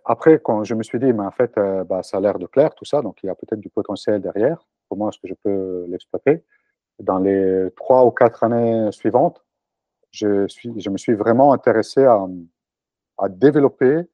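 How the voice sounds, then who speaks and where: Hesitant Italian man, low dark voice. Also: dry recording, highs cut off. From Italy. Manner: hesitant, frequent fillers and restarts. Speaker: interview guest, entrepreneur. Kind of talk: casual interview answers.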